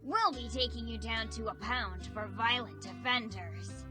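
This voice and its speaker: A tough female parole officer in a video game speaks formally and sternly. Her voice is fairly high, with music clearly behind it. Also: a little slow. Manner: formal, authoritative delivery. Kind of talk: English video game voice acting. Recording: loud background music.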